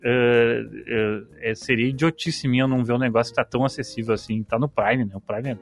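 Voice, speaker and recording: A man's voice: medium pitch, steady tone, brisk, faint music behind. A man, co-hosting a podcast in loose, joking casual chat and swearing freely. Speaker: podcast co-host.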